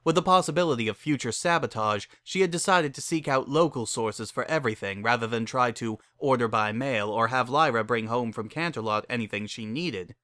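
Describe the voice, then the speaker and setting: Male narrator, bright forceful voice, middle pitch, dry close sound, dramatic scripted storytelling. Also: fairly quick, few pauses, no echo.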